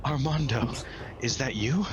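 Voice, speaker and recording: A gentle, devout woman waking weakly in a fantasy game voiced by a man in his own low register. The delivery is soft and reverent, over background music. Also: a little slow, lo-fi sound, background noise, lightly acted. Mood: weak, grateful. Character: weak, grateful young woman, devout and gentle.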